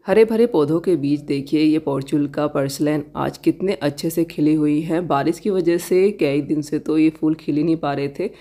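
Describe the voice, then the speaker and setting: Hindi-speaking woman giving a garden tour, low rasp. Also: normal speed, hardly any pauses. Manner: gentle, explanatory, points things out to viewers. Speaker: garden vlogger. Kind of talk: casual garden tour with tips. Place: outdoor balcony garden.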